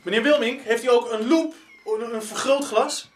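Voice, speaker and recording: An actor in a radio drama playing an earnest, easily disheartened male researcher, hesitant and excitable. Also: very high-pitched, moderate pace, slight background noise. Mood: intrigued, tense. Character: earnest, disheartened male researcher-writer chasing an art discovery.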